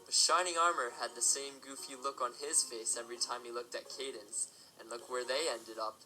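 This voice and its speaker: A man's voice: medium pitch, steady tone, fairly quick, soft background music, close to an everyday voice, poor audio. An actor playing a teasing, protective middle-aged father in an audio drama.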